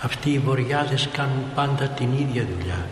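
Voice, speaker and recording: An actor playing a placid dead townsman in a stage drama, talking slowly and matter-of-factly. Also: medium pitch, expressive, natural voice. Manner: slow, drawn-out, matter-of-fact. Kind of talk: understated stage drama acting. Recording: noisy, reverberant hall recording of a play.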